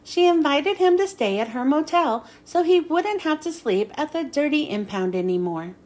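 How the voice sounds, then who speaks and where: A woman reading a children's storybook aloud in an expressive way, with dramatic storytelling emphasis. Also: high-pitched, normal speed. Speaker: children's book reader.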